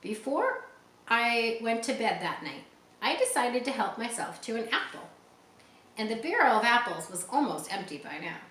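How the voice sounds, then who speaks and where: A woman reading a children's story aloud expressively, pausing to explain words for young listeners. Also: a little high, sing-song, fairly bright tone. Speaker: audiobook reader. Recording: roomy echo, faint background noise.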